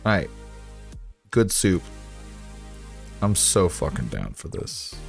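A man with a raspy, hoarse voice chatting casually with his livestream viewers, rambling, sarcastic and swearing as he reads figures aloud. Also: deep voice, sing-song, takes it slowly, soft background music. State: hoarse, sore voice.

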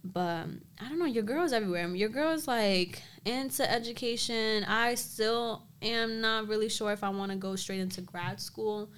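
A woman's voice: a little high, moderate pace, hardly any pauses, a bit bright, smooth. A young woman, a co-host, chatting casually on a podcast, rambling and laughing often. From Dominican American, grew up in the US.

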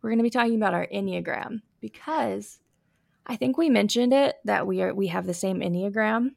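A woman's voice: medium pitch, a little fast, gravelly, no room sound, studio quality. A young adult woman hosting a casual podcast chat, her voice scratchy and a bit sniffly from allergies, with lots of fillers. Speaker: podcast host.

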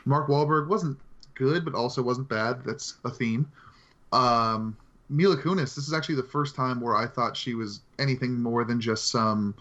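A man's voice: medium pitch, bright, forceful tone. A man co-hosting a podcast, giving film opinions in casual conversation, with slightly dull, lo-fi sound. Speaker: podcast co-host.

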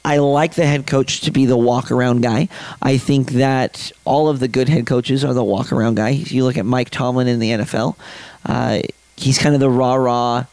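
Slightly raspy young man. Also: medium pitch, fairly even intonation, normal speed, darkish tone, no room sound. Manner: rambling, many fillers and restarts. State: raspy voice after late-night concerts. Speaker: podcast host. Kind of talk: casual sports podcast talk.

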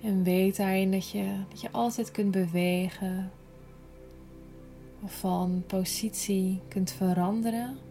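A woman guiding a yoga nidra relaxation in a gentle, soothing, unhurried manner, breathy at times. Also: mid-range voice, fairly even intonation, soft background music. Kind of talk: slow guided relaxation meditation.

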